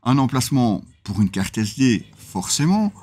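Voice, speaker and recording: A man speaks as the host of a product review video. His voice sits at an average pitch. He explains features in a semi-scripted way, with small hesitant pauses inside his sentences. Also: big pitch swings, a little mellow.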